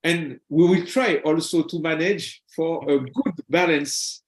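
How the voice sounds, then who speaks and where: A man answering as a panel guest, haltingly, searching for his words. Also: a little high. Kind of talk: answering questions in a discussion. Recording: echoey room sound.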